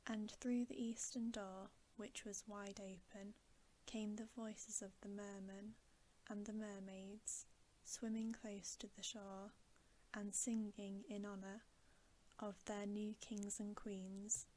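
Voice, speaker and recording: A woman reading a bedtime story aloud in ASMR style, softly and deliberately, often in a whisper, close to the microphone. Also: medium pitch. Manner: soft, hushed, often whispering, slow and deliberate. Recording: steady background hiss.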